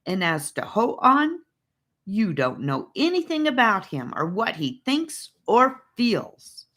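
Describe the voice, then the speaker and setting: A woman voicing a thoughtful, stern older boy leader who speaks slowly and lectures in an audiobook story. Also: medium pitch, big pitch swings, fairly bright tone, pitched above the performer's own voice, full character voice. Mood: stern, heated. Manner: slow, deliberate, lecturing.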